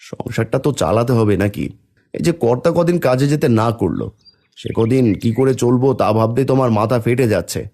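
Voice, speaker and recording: A man's voice: medium pitch, expressive, normal speed, a little mellow. A man playing an earnest, fretful, hardworking village father in a cartoon family drama. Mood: worried.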